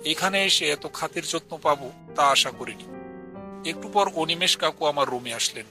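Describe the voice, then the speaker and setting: A man voicing a polite young villager who tells a scripted ghost story in a measured, earnest way. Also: fairly high pitch, expressive, bright, forceful tone. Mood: earnest, uneasy. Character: polite young village man from a poor family recounting his ghost encounter. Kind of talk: scripted horror story narration with acted dialogue. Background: music.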